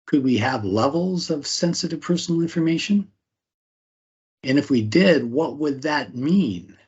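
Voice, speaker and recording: Guy giving a privacy talk, slightly husky voice, keeps asking questions, dull lo-fi sound. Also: fairly high pitch, animated, measured pace, a little mellow. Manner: asks rhetorical questions, tags "right?". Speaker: speaker leading a privacy talk. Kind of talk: conversational presentation.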